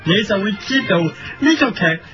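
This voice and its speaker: A man with a high voice and a Hong Kong Cantonese accent reads satirical commentary like a newscaster. The recording is lo-fi radio, and music plays clearly behind him. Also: animated, moderate pace, a bit bright. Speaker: host of a parody editorial programme. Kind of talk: satirical scripted commentary in mock news style.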